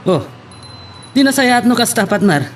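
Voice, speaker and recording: A man's voice: very high voice, sing-song, normal speed, slight background noise. A man acting a concerned friend who questions and advises his buddy, with an Ilocano Filipino accent. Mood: concerned, probing. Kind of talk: Ilocano audio drama acting.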